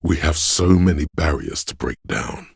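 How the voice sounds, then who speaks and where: Cavernous, gravelly, pretentious inner voice. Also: male voice, normal speed, clean studio sound, full character voice. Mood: wry musing. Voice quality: very deep, gravelly, husky. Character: male inner voice of conceptual, artistic thought, wry and pretentious. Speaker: game narrator. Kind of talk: theatrical game narration.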